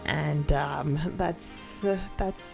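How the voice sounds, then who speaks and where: A woman co-hosting a podcast in casual, chatty style, reading comments with hesitant pauses and giggles. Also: low voice, creaky, a little mellow, faint music behind. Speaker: podcast co-host.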